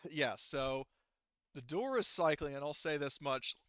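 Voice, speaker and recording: A man with a clear, bright voice narrates a tabletop game as its game master. He feels his way through scenes informally, with many hesitations. The sound is close and dry but a little dull, like lo-fi voice chat. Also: fairly high pitch, lively intonation, no echo. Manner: halting, filler-heavy, informal.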